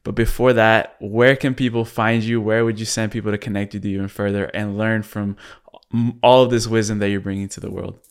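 A man's voice: on the low side, flat delivery, brisk, clean tone. A man hosting a podcast interview in an earnest, appreciative, conversational way. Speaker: podcast host.

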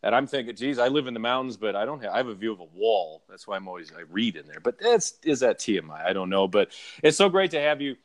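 A man's voice: on the low side, very animated, fast, no echo. A man hosting a podcast from his home in the mountains, chatting with a guest in an enthusiastic, rambling way. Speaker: podcast host. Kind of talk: casual interview chat.

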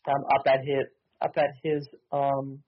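A man's voice: medium pitch, monotone, measured pace, highs cut off. A man narrating as game master over a voice call, hesitant with many ums and restarts.

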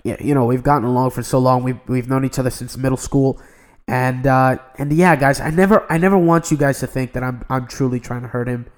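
A man's voice: mid-range voice, steady tone, fairly quick. Man, young adult, podcast co-host, casual chat, earnest, rambling, many restarts.

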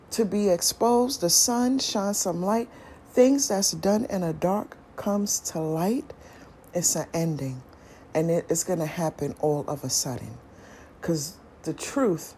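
A woman's voice: medium pitch, mellow, a little hiss or hum. A woman hosting a casual solo podcast, talking in a loose, musing way with slang and thinking-aloud restarts. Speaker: podcast host.

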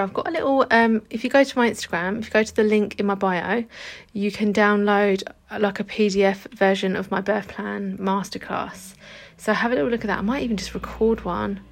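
Croaky woman, thirties, flat delivery, casual podcast host. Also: medium pitch. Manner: chatty, informal, swears casually. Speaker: podcast host and hypnobirthing teacher. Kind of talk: casual solo podcast talk.

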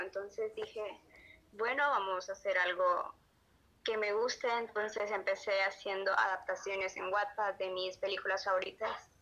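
A woman's voice: medium pitch, a bit bright. A woman with a Honduran accent answering casually as an interview guest who writes as an amateur. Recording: dull lo-fi audio.

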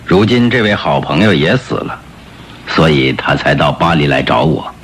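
An actor playing a gentle, courteous older marquis in a radio drama, speaking politely with hesitant interjections. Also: male voice, a bass voice, expressive, normal speed, a little hiss or hum, full character voice. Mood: courteous, reserved. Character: gentle, courteous grey-haired aristocratic marquis, a father.

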